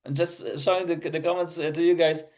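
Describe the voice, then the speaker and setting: A man's voice: on the high side, fairly even intonation, a little fast, darkish tone. Non-native English-speaking male presenter, middle-aged; earnest, storytelling delivery, often restarting his sentences. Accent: non-native English accent. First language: not English; English is his third language. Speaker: researcher presenting a webinar. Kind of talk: presentation talk, story-driven.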